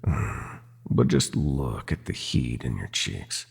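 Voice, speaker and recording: A man playing a sinister, seductive nightmare god in a roleplay audio drama, gloating and possessive, with a slow theatrical, teasing drawl. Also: a bass voice, wildly swooping pitch, vocal fry, husky, full character voice. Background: music.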